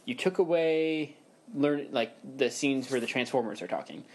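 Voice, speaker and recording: A young man co-hosts a casual podcast in a rambling, joking way. He laughs easily and keeps the talk loose, in a middle pitch. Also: moderate pace, darkish tone, a little hiss or hum, low-quality recording. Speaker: podcast co-host.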